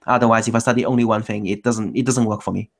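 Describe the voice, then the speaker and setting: A low-pitched man hosts an online language meetup, and his voice carries a gravelly fry. He chats loosely, often breaking off and restarting his sentences. It sounds like a computer mic on a call. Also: steady tone, fast talker. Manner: loose, self-correcting, many restarts. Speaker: meetup host. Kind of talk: casual chat.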